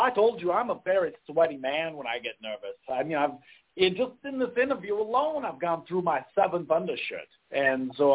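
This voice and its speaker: A man playing a sweaty, self-important showbiz consultant who rambles through restarts and garbled sayings. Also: a little high. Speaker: guest. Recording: tinny phone-like line.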